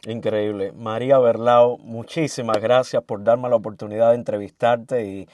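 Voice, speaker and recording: Male interviewer, polite and formal, steady mid voice. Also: mid-range voice, a crowd in the background. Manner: formal, measured, emphatic questions.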